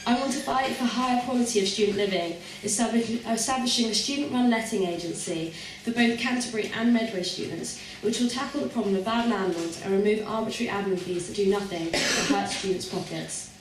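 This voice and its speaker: A woman's voice: medium pitch, fairly quick. A young woman giving a prepared student election speech, recorded from a distance. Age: young adult. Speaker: student union president seeking re-election. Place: large echoey room at a public hustings. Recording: very echoey, distant mic, faint noise.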